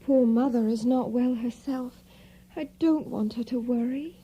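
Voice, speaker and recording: An actress playing a frail, anxious young gentlewoman in an audio drama, with a breathy, hesitant, languid delivery. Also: a little high, measured pace. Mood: weary worry. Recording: muffled, dull recording.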